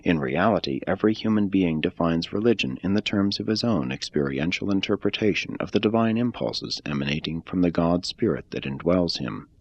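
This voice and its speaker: A man reading a religious text aloud in a measured, deliberate way. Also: deep voice, lively intonation, dry recording, lo-fi sound. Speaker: reader.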